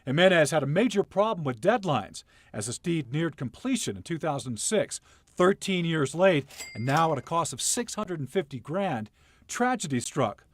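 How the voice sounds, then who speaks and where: A man's voice: on the high side, very animated, normal speed, no room sound. A man narrating a scripted TV news feature as its reporter, telling it in a playful, dramatic way.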